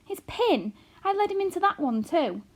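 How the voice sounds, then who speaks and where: A woman's voice: very high-pitched, sing-song, moderate pace, pitched above the performer's own voice. A woman doing a fretful, tearful little mother. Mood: distraught. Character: anxious fretful tiny mother, middle-aged woman. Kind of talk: audiobook character reading.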